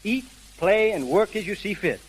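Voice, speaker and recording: A man's voice: high-pitched, lively intonation, normal speed. A man giving scripted advice as a fitness trainer in 1930s radio style. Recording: noisy crackling 1930s radio recording.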